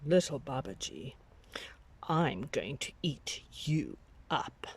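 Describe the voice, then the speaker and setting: A menacing, conceited tiger from a children's storybook, acted by a woman. The words come slowly and stretch out, sometimes sinking into a husky whisper, with wide swings in pitch. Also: sing-song, a little mellow, heavily acted. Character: menacing, boastful tiger who threatens to eat a child.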